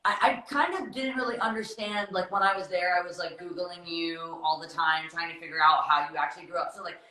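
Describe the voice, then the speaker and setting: A woman's voice: on the low side, brisk, pushed and bright. A young woman chatting on a phone livestream, asking loose, rambling questions. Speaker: guest interviewer on a livestream. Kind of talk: casual interview chat. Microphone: phone on a video livestream. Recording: echoey room over a phone.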